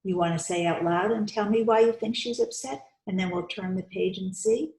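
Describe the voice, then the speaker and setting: A woman reading a storybook aloud to young children, expressive and coaxing, stopping to put questions to her listeners. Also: medium pitch, moderate pace, gravelly. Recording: roomy, echoey sound.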